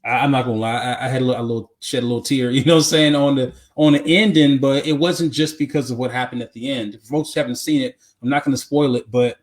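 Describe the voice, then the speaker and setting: A man co-hosting a podcast in casual conversation, talking haltingly with repeats and frequent check-in phrases to the listener. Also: medium pitch, fairly even intonation. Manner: halting, repetitive, peppered with "you know what I'm saying". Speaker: podcast co-host. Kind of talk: casual chat about movies.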